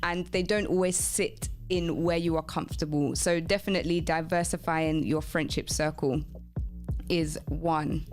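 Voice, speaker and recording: A woman's voice: low-pitched, steady tone, normal speed, a little mellow, soft background music. A woman hosting a radio show, chatting to listeners by name and reading out their comments in an encouraging, chatty manner.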